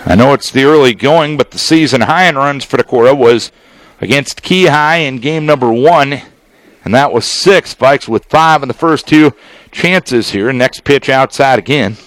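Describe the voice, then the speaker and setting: A man doing live baseball play-by-play as a radio announcer, speaking in measured, clipped phrases with deliberate pauses. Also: mid-range voice, a bit bright, no room sound, rough recording. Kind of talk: live sports play-by-play commentary.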